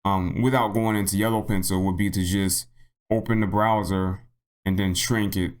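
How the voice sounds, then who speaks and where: Low-voiced man, casual tutorial host. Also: steady tone, moderate pace. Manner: thinks aloud, repeats himself. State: slightly hoarse. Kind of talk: casual unscripted software tutorial narration.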